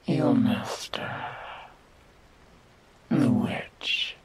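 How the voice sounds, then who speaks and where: Woman acting a slow, whispering, sinister demon girl. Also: extremely low pitch, exaggerated voice. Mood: mocking, menacing. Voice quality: breathy whisper, mostly unvoiced. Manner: slow, mocking, condescending, theatrical delivery. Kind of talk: whispered ASMR roleplay. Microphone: close binaural microphone.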